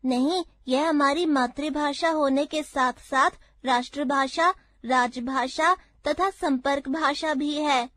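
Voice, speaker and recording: A woman's voice: high-pitched, takes it slowly, fairly bright tone, dry recording, voice pushed up. A woman voicing an earnest young schoolboy who answers questions in a classroom dialogue. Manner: answers simply and proudly.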